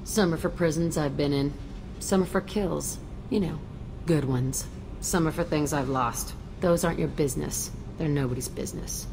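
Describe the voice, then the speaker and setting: A woman's voice: deep voice, normal speed, creaky, background noise. An actress playing a tough, cynical young female ex-convict in a video game, curt, abrasive and sardonic. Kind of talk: video game character acting.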